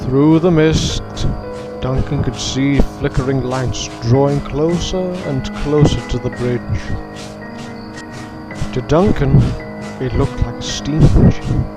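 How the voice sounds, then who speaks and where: A man's voice: medium pitch, takes it slowly, loud music behind the voice. A man reading scripted, dramatic narration as the storyteller of a children's audio drama.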